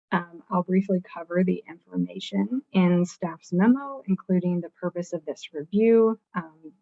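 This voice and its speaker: Soft-voiced woman, city planner, formal staff report, lowish voice, dry muffled call audio. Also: no echo. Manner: measured, formal. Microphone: video call.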